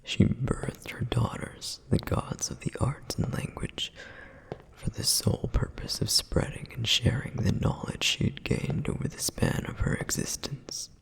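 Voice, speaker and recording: A man voicing a dutiful, protective male flame demon in an ASMR roleplay, with a soft whispered and wistful, reflective delivery. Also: mid-range voice, fairly even intonation, unhurried, creaky, rough recording.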